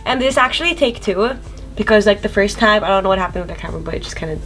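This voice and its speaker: A woman's voice: medium pitch, rapid-fire. A nineteen-year-old woman, from the Philippines and Sweden, co-hosting a casual chat and telling stories with a laugh. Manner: chatty, laughs through stories, lively retelling. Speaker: YouTube co-host.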